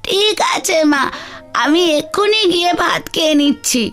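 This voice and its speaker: A woman's voice: extremely high pitch, lively intonation, moderate pace, fairly bright tone, soft background music. A woman voicing a wistful little village girl, a poor farmer's daughter, in a plaintive, pleading manner for a children's cartoon. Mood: obedient.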